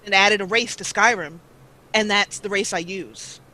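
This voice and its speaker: A woman guest on a live call-in interview, talking about her gaming videos in an animated, rambling way with frequent laughter. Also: mid-range voice, normal speed, poor audio. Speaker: guest, let's player. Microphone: call-in line.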